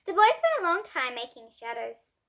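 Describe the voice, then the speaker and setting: Little girl reading a kids storybook, high bright voice, really expressive. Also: normal speed. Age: child. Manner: expressive, with emphatic character dialogue. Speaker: young presenter reading a children's book. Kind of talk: read-aloud bedtime story.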